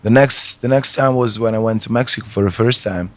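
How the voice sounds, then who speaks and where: A man's voice: lowish voice, fairly quick, a bit bright. A man whose first language is Italian, a reggae artist answering interview questions in a hesitant way, with many restarts.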